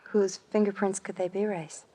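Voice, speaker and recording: An actress playing a cool, scheming glamorous murder suspect in an old radio drama. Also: female voice, mid-range voice, little pitch movement, moderate pace, close to an everyday voice. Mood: feigned innocence. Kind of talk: 1940s-50s radio drama acting. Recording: noisy, crackly vintage radio recording.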